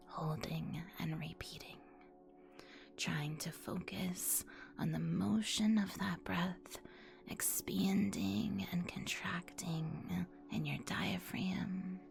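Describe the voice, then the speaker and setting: A woman whispering an ASMR guided meditation as its host, in a soothing, instructional manner with long pauses. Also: fairly low pitch, vocal fry. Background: music.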